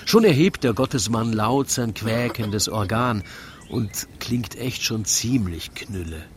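A man's voice: lowish voice, animated, slow, background noise. A man narrating a comic rhymed story in a wry, theatrical storytelling manner. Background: music.

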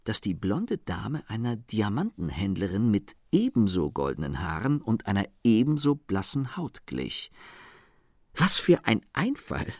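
An actor playing a playful, smug gentleman thief who teases in an amused storytelling manner in a radio play. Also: on the low side, wildly swooping pitch, measured pace, no room sound, studio quality, exaggerated voice. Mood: amused, mocking. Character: playful, smug, mocking gentleman thief reading his letter.

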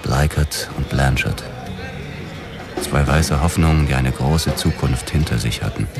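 A hard-boiled police narrator and ex-boxer in a radio drama. His voice is extremely low, deadpan and world-weary. Loud music runs behind him. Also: unhurried. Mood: wry, brooding.

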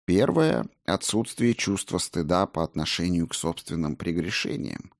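A man reading as a low-voiced, stern monk teacher. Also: big pitch swings, moderate pace, no echo, studio quality. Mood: instructive. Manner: didactic, blunt. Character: stern, scornful male Buddhist monk teacher.

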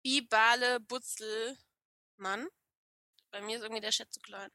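A woman with a regional German dialect chats playfully on a streamed podcast, laughing often. Her voice sits a little high and sounds bright and crisp. The recording is dry and close, with no room sound. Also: fairly even intonation, unhurried, no room sound. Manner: playful, reads chat names aloud, frequent laughs. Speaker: podcast co-host. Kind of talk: casual streamed podcast chat.

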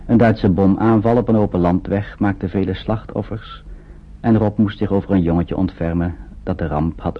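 A man narrates a scripted radio drama in a measured, storytelling way. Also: sits low, husky. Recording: muffled narrow-band old radio recording.

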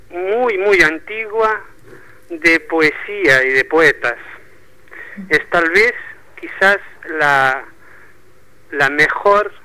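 A Portuguese man with a Portuguese accent in Spanish, touched with Argentine Spanish, talking slowly as a radio guest. His voice is sharp and bright and sits a little high for a man. He stops and repeats words often while he looks for the right phrase. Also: rough recording. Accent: Portuguese speaking Spanish, with a touch of Argentine Spanish. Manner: thoughtful, halting, repeats words while searching. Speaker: poetry bookshop owner, guest. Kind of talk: radio interview.

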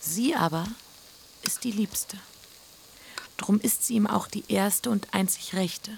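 An actress playing a bitter, neglected first wife and mother addressing her sons, hushed and intense in a literary radio drama. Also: medium pitch, slow, gravelly, a little hiss or hum. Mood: bitter, hurt.

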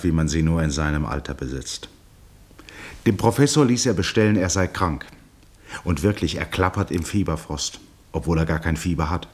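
A grave storyteller in a 1960 radio play. His voice sits very low. The narration is scripted and literary. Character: grave omniscient male storyteller.

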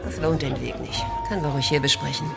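Weary streetwalker in a radio play: female, very low, gravelly, blunt and teasing, over prominent music. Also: steady tone, quick pace, heavily acted. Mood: wary, dismissive. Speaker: actor. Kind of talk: naturalistic German radio drama acting. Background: music behind the voice.

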